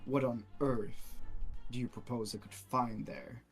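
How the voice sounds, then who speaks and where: A man voicing an indignant, haughty gentleman suspect, affronted and scornful, with formal diction, in an amateur video game fan dub. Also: mid-range voice, fairly even intonation, unhurried, soft background music, natural voice.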